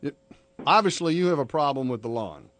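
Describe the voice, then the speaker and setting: A man's voice: mid-range voice, lively intonation, a little fast, a bit bright, dry recording. An American man, a radio host making a prank phone call in a conversational, matter-of-fact but insistent way. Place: radio studio, dry close sound.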